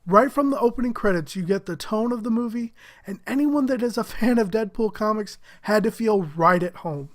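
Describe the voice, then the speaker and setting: A higher-pitched man talks through a casual movie review with plain enthusiasm. His voice sounds a little stuffy and muffled from a head cold, and he chuckles here and there. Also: normal speed, few pauses. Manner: upbeat, enthusiastic, chuckles often.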